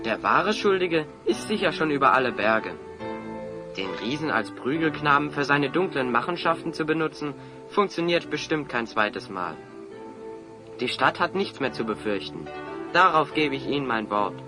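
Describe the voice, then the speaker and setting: A man playing a wise, calm and courteous adventurer in a radio play, assured and articulate. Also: on the high side, moderate pace, over music, natural voice. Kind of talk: German radio play acting.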